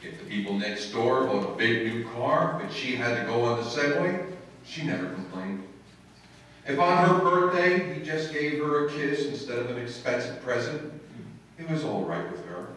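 A man's voice: mid-range voice, expressive, normal speed, fairly bright tone, natural voice. A man playing a long-suffering, sarcastic husband who narrates an old-time radio comedy on stage. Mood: wry storytelling. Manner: dry, sarcastic. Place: live stage in an indoor hall, distant camera. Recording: echoey noisy hall, far from microphone.

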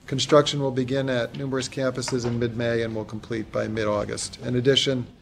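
An American man giving a formal, businesslike status report to a board, reading from notes a little haltingly. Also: medium pitch, level tone. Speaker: construction executive reporting to a board. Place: large conference room with long table. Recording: clear background noise and clicks.

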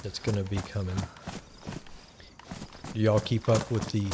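A man with a Texan accent talks his way through a game, easygoing and rambling. His voice sits on the low side, and game sounds of animals and the outdoors are clearly heard behind him. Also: measured pace, heavy background noise. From Texas. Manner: relaxed, rambling, thinks aloud while playing. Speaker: gaming channel host. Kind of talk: casual gameplay commentary.

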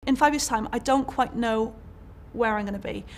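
A woman's voice: fairly high pitch, normal speed, gravelly, slight background noise. A young woman with a Welsh accent, a college lecturer, talking candidly about her career in an interview, chatty with many fillers and restarts. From Wales. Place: indoor college room.

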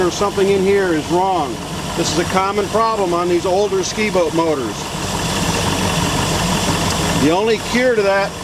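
Older male mechanic, plain-spoken teacher with a slightly high voice, recorded in a boat shop. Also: very noisy. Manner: plain-spoken, many uh fillers, hands-on demonstrating. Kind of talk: workshop how-to explanation. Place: large boat workshop indoors. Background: idling boat engine.

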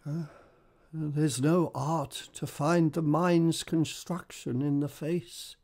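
A woman playing a gracious, emotional king in a Shakespearean radio drama, stately and effusive, with drawn-out phrasing. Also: mid-range voice, warm tone. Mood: grave, regretful. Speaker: actor in an amateur radio drama.

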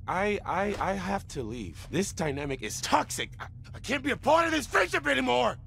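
A man's voice: high-pitched, very animated, normal speed, vocal fry, a bit bright, faint music behind, full character voice. A man playing a big, sensitive cartoon toad brute who longs for peace, earnest and emotionally wound up. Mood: hurt, seething.

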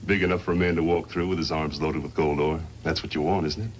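Fast-talking low-voiced mine gang boss. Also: male voice, steady tone. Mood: businesslike. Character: tough, shrewd male mine boss, hired crew foreman in a western. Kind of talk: 1950s radio western acting. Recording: noisy vintage 1950s radio recording.

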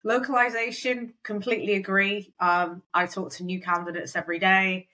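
Woman, bright tone, chatty, lots of fillers, interview guest. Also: mid-range voice, level tone, moderate pace, poor audio. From Wales. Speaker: recruitment company founder, guest. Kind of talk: conversational interview.

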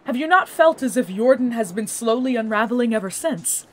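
An actress playing an earnest young scholar, speaking in formal, precise, methodical phrases for a fantasy audio drama. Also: female voice, on the high side, animated, brisk, a little hiss or hum, lightly acted. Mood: urgent. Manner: formal, precise, bookish wording.

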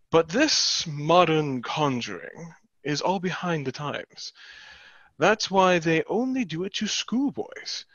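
A man's voice: high-pitched, fairly bright tone, breathy edge, dry recording, full character voice. A young man in a play reading, acting a hot-headed, proud, indignant young businessman, recorded on a headset. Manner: brash, indignant. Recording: dull headset video-call audio.

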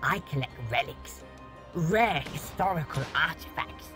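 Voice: medium pitch, expressive, a little slow, natural voice. An actor playing a small, eager lizard folk collector in a video game, talking in clipped broken grammar. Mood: earnest, proud. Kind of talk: video game character acting. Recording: faint music behind.

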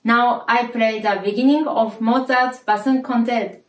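A woman from Japan, a bassoon student, introducing herself carefully. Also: fairly even intonation, normal speed. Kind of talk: self-introduction to camera. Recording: echoey room, mic at a distance.

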